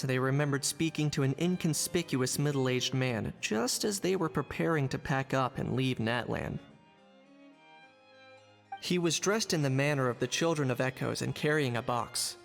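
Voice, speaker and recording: A man playing a composed, observant investigator in a video game, narrating in a measured, analytical way. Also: medium pitch, creaky, long reverb tail, faint music behind, lightly acted. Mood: calm, explanatory.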